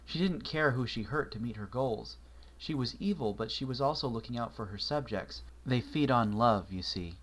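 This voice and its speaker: A man reading a story aloud, voicing an anxious, bookish young pony mare who talks earnestly and often in a hushed voice. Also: mid-range voice, expressive, normal speed, hardly any pauses, close to an everyday voice. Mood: reflective.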